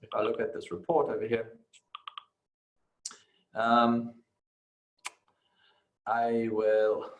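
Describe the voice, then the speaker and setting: A man talking through an informal screen-share software tutorial, halting as he goes and often adding short tag questions. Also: mid-range voice, completely flat delivery, muffled recording, slight background noise. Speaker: software instructor.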